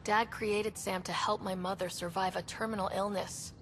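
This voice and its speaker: Young woman explorer leader played by a woman, calm and reassuring. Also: mid-range voice, normal speed, slight background noise, lightly acted. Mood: steady. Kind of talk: video game dialogue.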